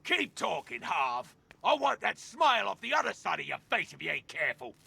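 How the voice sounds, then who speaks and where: High, very creaky, mouthy gangster. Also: male voice, sing-song, a little fast, sharp tone, exaggerated voice. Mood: hostile. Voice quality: raspy, strained. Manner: aggressive, mouthy. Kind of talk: video game villain acting.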